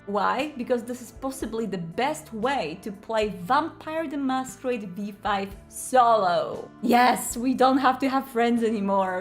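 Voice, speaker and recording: A woman with a slightly high-pitched voice presents a review to her viewers. Her delivery is excitable and emphatic, casual but explanatory. Music plays behind her voice. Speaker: video host and game reviewer. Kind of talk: enthusiastic scripted-casual product explainer to camera.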